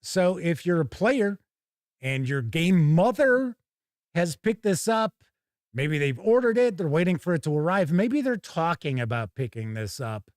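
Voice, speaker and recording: Higher-pitched middle-aged man, chatty host. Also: sing-song, measured pace, a little mellow, no echo, clean studio sound. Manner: rambling, chatty, informal with mild swearing. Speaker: host of a tabletop gaming livestream. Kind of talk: casual livestream chat and product first look.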